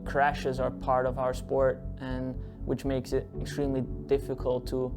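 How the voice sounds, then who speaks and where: A man from Belgium, an alpine ski racer speaking in a measured, earnest way in a documentary interview. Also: medium pitch, monotone, over music.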